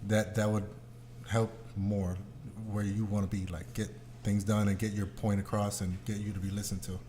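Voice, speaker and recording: A man's voice: fairly low pitch, flat delivery, moderate pace, dark tone, slight background noise. Casual, slangy man hosting. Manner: informal, slangy, swears. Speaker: podcast host. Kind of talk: casual chat with a guest.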